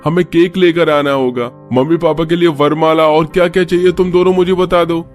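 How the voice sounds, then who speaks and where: Practical husband played by a man, higher male voice. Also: steady tone, normal speed, soft background music, lightly acted. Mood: firm, conciliatory. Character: practical, peacemaking adult son and husband. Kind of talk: scripted story dialogue.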